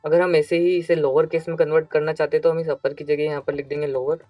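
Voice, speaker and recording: A man's voice: on the high side, level tone, quick pace, warm tone, no room sound, soft background music. A man with an Indian accent narrating a step-by-step programming tutorial as an instructor, explaining and repeating key phrases.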